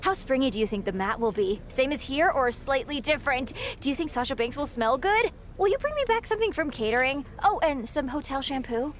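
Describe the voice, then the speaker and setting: A giddy young fan girl in a video game scene, her high voice bouncing all over as she gushes out one breathless question after another. She sounds close to hyperventilating with excitement. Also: slight background noise. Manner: breathless, gushing, rapid questions. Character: overexcited young female wrestling fan. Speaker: game character. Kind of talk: video game cutscene voice acting.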